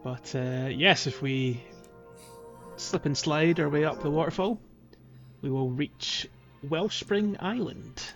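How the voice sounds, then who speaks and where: A man's voice: on the high side, slow pace. A man co-hosting a podcast, talking casually as he guides listeners through a video game. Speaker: podcast co-host. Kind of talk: casual chat, guiding a game playthrough. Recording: slightly dull lo-fi sound, music behind. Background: background music.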